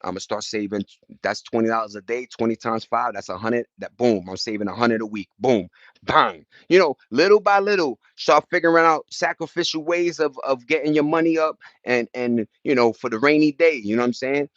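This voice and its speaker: A man's voice: medium pitch, brisk, fairly bright tone, dry recording, dull audio. A man with an American accent talking casually on a livestream, passionate and emphatic, rambling in slangy street talk. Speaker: livestream host reading and answering viewer chat.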